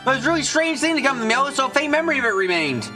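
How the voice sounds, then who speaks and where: A man voicing a troubled young woman in a visual novel fan dub, speaking in a halting, earnest way. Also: very high-pitched, big pitch swings, music in the background, pitched above the performer's own voice. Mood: troubled, remembering. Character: troubled young woman recalling her lost family.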